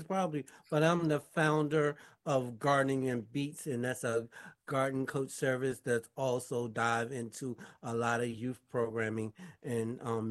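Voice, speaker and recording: A man raised in Maryland, a guest on a show, talking in a laid-back way with laughs and fillers. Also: medium pitch. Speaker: guest, founder. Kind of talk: casual interview talk.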